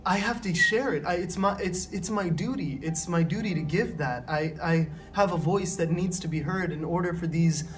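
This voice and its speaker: A man's voice: sits high, normal speed, few pauses, slight background noise. A man speaking earnestly in an interview, emphatic and repeating key phrases. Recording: distant mic with faint music.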